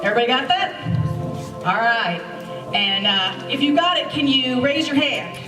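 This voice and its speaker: A woman with a fairly high voice speaks in a reverberant hall. She is a host casually giving an audience instructions before a play, with music behind her. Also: moderate pace. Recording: echoey hall, distant mic, music behind.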